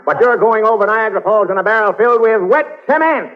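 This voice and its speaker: A boastful, pushy male publicity agent, pitched high and selling hard. It comes from a vintage comedy broadcast with a muffled sound and clear noise over it. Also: expressive, fast. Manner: pushy, boastful salesman patter. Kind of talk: 1940s radio comedy acting. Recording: muffled, noisy old radio broadcast recording.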